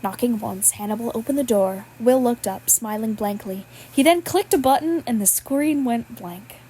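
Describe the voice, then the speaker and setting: A woman's voice: fairly high pitch, moderate pace, mellow, husky, poor audio. A woman reading fan fiction aloud, breaking into laughs as she goes. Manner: laughs at the text while reading. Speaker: podfic reader.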